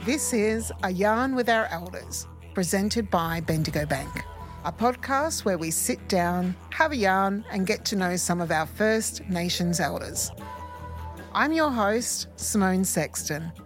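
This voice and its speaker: A woman's voice: medium pitch, animated, measured pace, faint music behind. An Australian woman hosting a podcast, reading a scripted intro and then chatting with her guest. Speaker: podcast host.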